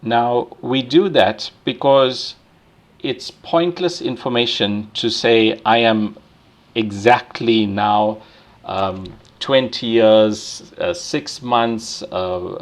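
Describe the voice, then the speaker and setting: A man giving a university lecture, explaining step by step with many restarts and checking in with "right?". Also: mid-range voice. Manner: frequent fillers and restarts while thinking aloud.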